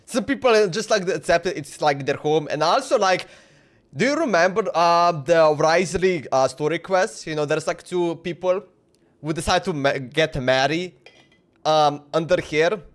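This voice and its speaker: A woman's voice: on the low side, moderate pace. A woman live-streaming a game, giving informal, playful reactions in casual commentary. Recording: game music behind the voice.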